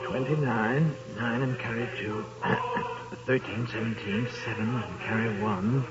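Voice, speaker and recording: An actor playing a timid, deferential clerk in a 1930s radio play. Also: male voice, medium pitch, fairly even intonation, a little slow, close to an everyday voice. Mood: muttering. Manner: meek, deferential. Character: meek, timid male clerk Bob Cratchit. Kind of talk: 1930s radio drama acting. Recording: noisy lo-fi 1930s radio broadcast.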